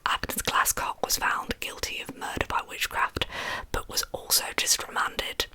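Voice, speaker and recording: A woman telling true crime history in ASMR style as host, in a breathy, soft whisper with casual asides. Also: measured pace.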